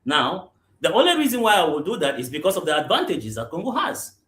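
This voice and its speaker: A man's voice: a little high, big pitch swings, fast talker, fairly bright tone. Man from Cameroon, echoey room. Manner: repeats "you understand?" and restarts often. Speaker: guest, real estate general manager. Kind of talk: conversational interview answers. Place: remote call into a live podcast. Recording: roomy echo.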